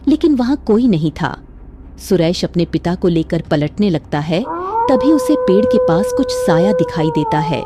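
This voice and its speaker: A woman reading a scripted moral story as a dramatic narrator. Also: on the low side.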